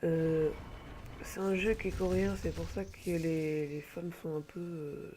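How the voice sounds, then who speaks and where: A man's voice: a little high, level tone, a little slow, a little mellow, faint music behind. A man with a cold, talking casually and hesitantly over gameplay from a home computer mic, rambling with many filler sounds. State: has a cold. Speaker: gamer presenting an online game.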